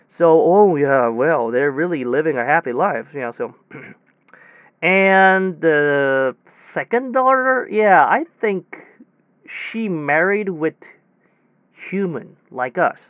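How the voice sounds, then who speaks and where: A dull, close and dry recording of a high-pitched male technician played by a man in an audio drama. He rambles in a rattled, conversational way, stumbling over himself, repeating words and correcting what he just said. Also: smooth, dry recording. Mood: musing, hesitant. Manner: halting, repetitive, full of false starts and self-corrections. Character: rattled, rambling young technician retelling a bizarre demon encounter. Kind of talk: hobby audio drama monologue, conversational retelling.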